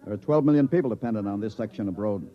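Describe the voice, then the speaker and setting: An actor playing a brisk, confident middle-aged chief engineer in an old-time radio drama, businesslike and authoritative. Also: male voice, fairly low pitch, natural voice. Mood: earnest, assured. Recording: dull vintage radio recording.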